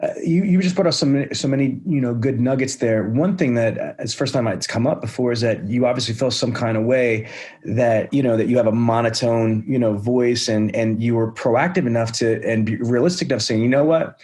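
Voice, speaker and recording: A man's voice: medium pitch, fairly quick, a little mellow. A man hosting a sales podcast, talking with energy and enthusiasm, praising and setting up role plays. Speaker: podcast host, sales trainer.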